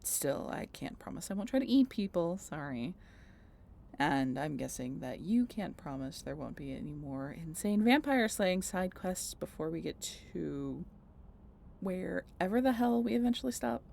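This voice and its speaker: A woman playing a guarded, sardonic century-old vampire in an intimate audio roleplay, halting and casually profane as she talks to the listener. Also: on the low side, animated, gravelly, darkish tone, heavily acted. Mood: wry, brusque.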